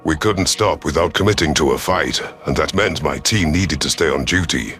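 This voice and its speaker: A deep-voiced, gruff reptilian war commander acted by a man narrates a sci-fi audio story. He is proud, stern and sardonic, with faint music beneath the voice. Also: normal speed, a bit bright. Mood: stern, measured. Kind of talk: first-person scripted audio story narration.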